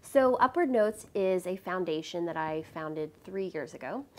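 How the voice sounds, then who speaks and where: Chatty young woman, slightly low voice. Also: animated, normal speed. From Louisville, Kentucky. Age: young adult. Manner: chatty, upbeat, many fillers. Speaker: guest cellist, founder. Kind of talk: casual interview. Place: TV studio set. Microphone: lapel.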